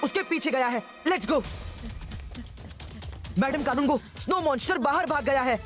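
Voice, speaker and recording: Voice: high voice, lively intonation, a little slow. An actor voicing a brave, caring young hero in a Hindi cartoon, calling out emphatically. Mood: bold, coaxing. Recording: loud music over the voice.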